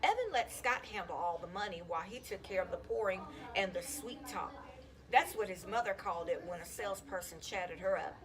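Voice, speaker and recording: A woman reading a children's chapter book aloud in an expressive, storytelling style. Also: medium pitch, fairly quick, crowd noise. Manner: animated, acts out dialogue. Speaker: school counselor reading aloud to students.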